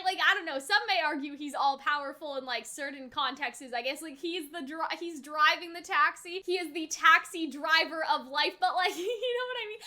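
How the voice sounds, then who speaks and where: High, bright-voiced young woman reviewer. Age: 20s. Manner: rambling, with frequent "like" and "you know". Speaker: reaction video host and reviewer. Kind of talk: casual anime reaction and review.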